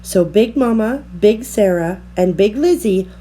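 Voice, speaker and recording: A woman narrates a children's picture book in a mellow voice. Her pace is slow and deliberate, and her pitch moves in lively, expressive swings. There is some faint noise behind her. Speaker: storybook reader. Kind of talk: children's read-aloud narration.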